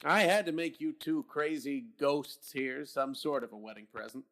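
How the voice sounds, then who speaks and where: An actor playing a friendly police captain who teases a young couple. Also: male voice, fairly high pitch, fairly bright tone. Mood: teasing. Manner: brisk, teasing.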